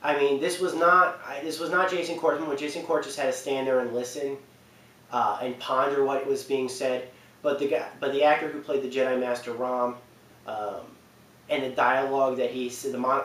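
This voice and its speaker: A man's voice: medium pitch, a bit bright. A man giving casual, enthusiastic but hesitant gaming commentary, full of fillers and restarts. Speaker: gaming video creator. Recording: roomy distant mic with faint hiss.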